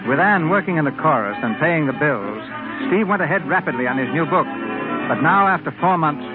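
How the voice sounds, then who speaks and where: On a narrow old radio broadcast with music behind him, a man reads as a 1930s announcer. His voice is fairly high and lively in its rise and fall. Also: brisk.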